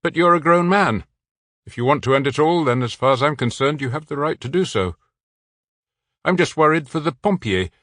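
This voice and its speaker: A man reading the part of a patient country policeman who talks in a calm, coaxing, matter-of-fact way. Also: fairly high pitch, moderate pace, dry recording, studio quality, pitched above the performer's own voice.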